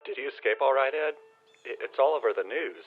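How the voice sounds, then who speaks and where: Husky, concerned brother over phone. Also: male voice, mid-range voice, animated, fairly quick, faint music behind. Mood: curious, concerned. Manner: hesitant, stammering. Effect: telephone filter. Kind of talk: modern audio drama acting. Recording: tinny, muffled phone line.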